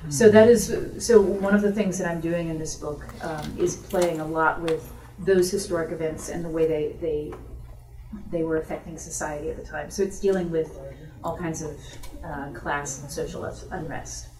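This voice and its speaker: A woman's voice: deep voice, fairly even intonation, moderate pace, dark tone. A woman author answering audience questions at a book event in an informal, explanatory, conversational way. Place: bookstore event room. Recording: roomy echo, distant microphone.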